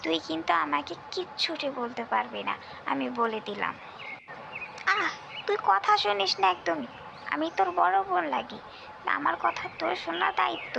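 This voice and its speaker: A woman's voice: very high-pitched, lively intonation, moderate pace, gravelly, lo-fi sound, background noise, heavily acted. A woman voicing a squabbling, bossy little village girl in a cartoon folk tale, whiny and insistent. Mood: demanding, quarrelsome.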